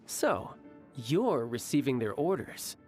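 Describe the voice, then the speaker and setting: A man acting a confident, coolly amoral young warrior who relishes battle, speaking in a smooth, theatrical way in a video game cutscene. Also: medium pitch, very animated, takes it slowly, darkish tone, soft background music. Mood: cool, assured. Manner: smooth, theatrical, self-assured.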